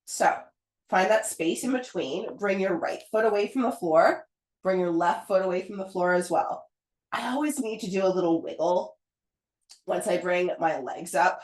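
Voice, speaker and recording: A pilates instructor who is getting over a cold and sounds hoarse, calmly talking a class through each move in an encouraging way. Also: female voice, fairly low pitch, normal speed. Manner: calm, encouraging step-by-step cueing. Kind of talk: guided pilates class cues. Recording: roomy echo.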